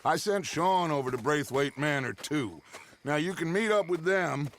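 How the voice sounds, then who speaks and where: Scheming outlaw leader, American accent, gravelly fry, grandiose and persuasive, middle-aged, video game drama. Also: male voice, a little high, lively intonation, normal speed, fairly bright tone, a little hiss or hum.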